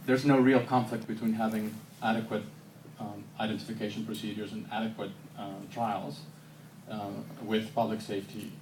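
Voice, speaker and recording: Man answering questions in an echoey hall. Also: fairly low pitch. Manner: thoughtful, many ums and restarts. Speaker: filmmaker answering audience questions. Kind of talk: public Q&A answers. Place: university auditorium. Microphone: handheld camera from the audience. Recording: echoey hall, far handheld camera, noisy.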